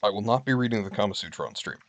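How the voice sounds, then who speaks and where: A man's voice: on the low side, lively intonation, racing, no echo. A man streaming from home, chatting casually with his viewers in a candid, wry way. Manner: candid, wry, reads list items aloud and comments.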